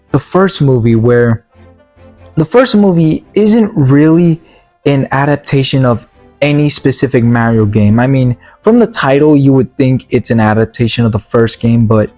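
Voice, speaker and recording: A man talks through a casual review in a mellow, soft-edged voice at an ordinary pitch, sounding worn out. He rambles, restarts and leans on fillers as he goes. Faint music plays behind him. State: tired, worn-out voice. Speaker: video reviewer. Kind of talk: casual spoken movie review.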